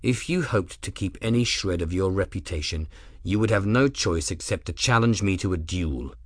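Steely airship captain, very low, slow, husky, quiet and level; a man putting on the voice. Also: lively intonation, no echo, studio quality. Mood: cold calm.

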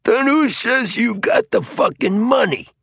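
A man's voice: mid-range voice, slow pace, gravelly, slightly rough, no echo, studio quality, pitched above the performer's own voice, exaggerated voice. A man voicing a primitive, loutish male gang henchman who blurts coarse slang, in an audiobook. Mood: belligerent.

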